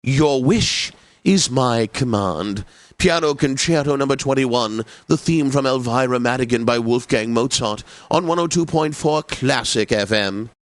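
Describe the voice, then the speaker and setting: An actor playing a pompous, effete classical radio DJ in a video game, announcing in a theatrical, unctuous, precisely enunciated manner. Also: male voice, mid-range voice, measured pace, dry recording, close to an everyday voice. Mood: smooth, pompous.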